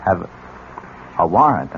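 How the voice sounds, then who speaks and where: An actor playing a wily police detective sergeant in a 1970s radio drama, halting and casual as he feigns bumbling. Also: low-pitched, big pitch swings, gravelly, highs cut off, exaggerated voice. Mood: slyly probing. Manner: halting, casual, wheedling, full of uhs. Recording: noisy, band-limited old radio recording.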